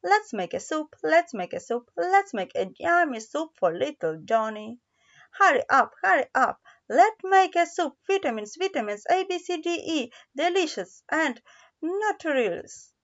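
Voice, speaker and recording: An eager little storybook vegetable, voiced by a woman, speaks in a high, lively, sing-song way. The pace is slow and the sound slightly dull. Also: heavily acted. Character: eager talking vegetables planning soup together. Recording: dull lo-fi recording.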